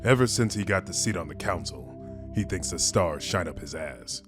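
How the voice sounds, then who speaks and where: A man's voice: low voice, animated, moderate pace, music in the background. A man voicing a seasoned, frank space admiral with a blunt, wry military manner, as in a sci-fi game. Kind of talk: sci-fi game character demo.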